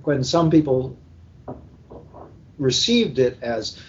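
A man's voice: mid-range voice, expressive, slow. An older man talking casually as a panel guest, rambling through anecdotes like a seasoned book editor. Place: live convention recording over internet call. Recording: dull, muffled internet call.

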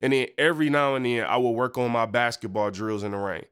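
A man's voice: mid-range voice, steady tone, fast, fairly bright tone, clear, resonant tone. A young man, stuffed up from a cold, hosting a casual faith podcast in loose, slangy talk full of fillers and asides. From Michigan. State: congested. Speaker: podcast host and preacher.

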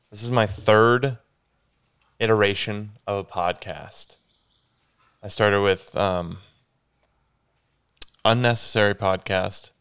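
A man's voice: fairly low pitch, fairly bright tone, clear, resonant tone. A young man hosting a casual solo podcast, stuffy and congested, rambling and correcting himself as he goes. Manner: rambling, self-correcting, says "dude" a lot. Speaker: podcast host.

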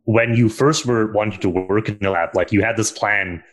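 A man with a very deep, low voice co-hosts an interview over a video call. His questions run long and searching, padded with ums and likes. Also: normal speed. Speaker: co-host, managing editor.

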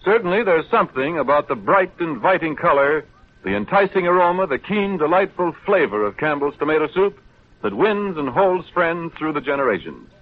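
Male radio announcer: polished sales pitch, lively inflection, slightly high voice, thin 1930s broadcast sound. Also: moderate pace, phone-quality sound.

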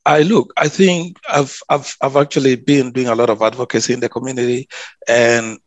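A man's voice: medium pitch, steady tone, normal speed, no room sound. A man, a public health officer answering interview questions earnestly, often restarting and repeating words. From South Sudan.

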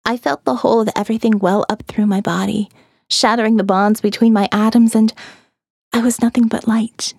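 A woman narrating a first-person horror audiobook, slow and hushed, often dropping to a whisper. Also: a little high, steady tone, gravelly, no room sound, clean studio sound.

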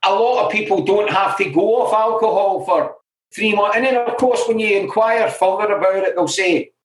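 A man's voice: sits high, normal speed. A middle-aged man from Glasgow with a Glaswegian Scottish accent, speaking as an interview guest and telling his own stories frankly, with many "you know" asides. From Scotland. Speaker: interview guest in alcohol recovery. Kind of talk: candid conversational storytelling. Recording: roomy echoey room.

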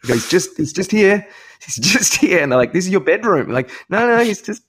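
A man's voice: high voice, sing-song, moderate pace, a bit bright, breathy edge. A young Australian man co-hosting a comedy podcast with blunt, teasing banter and comic rants. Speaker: podcast co-host. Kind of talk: casual comic banter and ranting.

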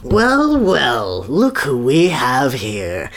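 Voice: mid-range voice, huge pitch swings, very slow, exaggerated voice. A reader voicing a kindly, curious, learned man in a storybook. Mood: surprised, kindly.

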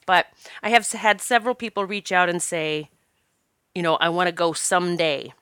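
A woman's voice: on the low side, moderate pace, a bit bright, clean tone, no echo, studio quality. A woman hosting her own podcast, stopped up from allergies, chatting in an encouraging way with fillers. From Northeast Pennsylvania. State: congested from allergies. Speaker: podcast host. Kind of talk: casual podcast talk.

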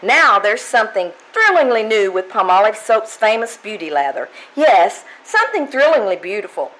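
A woman's voice: medium pitch, sing-song, moderate pace, a bit bright, low-quality recording. A woman playing a gushing, emphatic announcer reading a vintage 1940s-style radio commercial.

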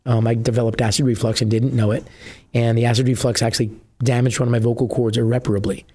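Husky middle-aged dude just chatting, lots of uhs, goes on and on. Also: male voice, mid-range voice, flat delivery, fast, darkish tone. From New Jersey. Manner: chatty, rambling, many "uh" fillers. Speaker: musician guest. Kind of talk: casual interview chat.

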